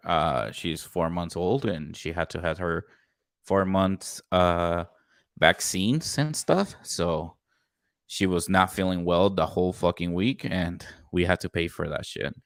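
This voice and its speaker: A young man hosting a casual podcast, upbeat and loud, swearing freely. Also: deep voice, smooth, no room sound, studio quality. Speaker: podcast host. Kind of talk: casual chat.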